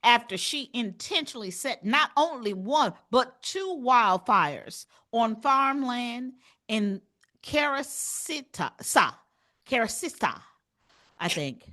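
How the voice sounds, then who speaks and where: Woman co-host reading headlines, high, lively pitch, slow, deliberate delivery. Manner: reads headlines then riffs, playful. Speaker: comedy podcast co-host. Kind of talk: reading news stories with comic asides.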